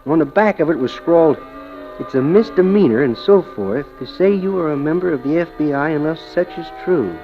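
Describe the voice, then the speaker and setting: An actor playing a hapless, lovesick amateur detective, wry and flustered, in 1940s radio style. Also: male voice, on the high side, expressive, a little fast. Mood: wry narrating. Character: hapless, lovesick amateur detective narrating his own misadventures. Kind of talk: 1940s radio acting and narration. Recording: muffled old radio recording with music.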